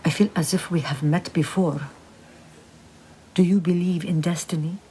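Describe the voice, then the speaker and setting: An actress playing a gracious, mature Egyptian woman professor in a radio drama, speaking with polite, measured, formal phrasing. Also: fairly low pitch, steady tone, mellow, a little hiss or hum, lightly acted. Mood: reflective, curious.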